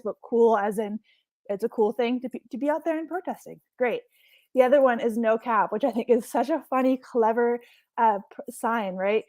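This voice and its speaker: A young woman from Hawaii talks through a casual online workshop as a marine scientist. She is chatty and enthusiastic, and her fairly high voice sounds close and dry, with no room around it. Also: a little mellow, no room sound. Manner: chatty, enthusiastic, laughs often.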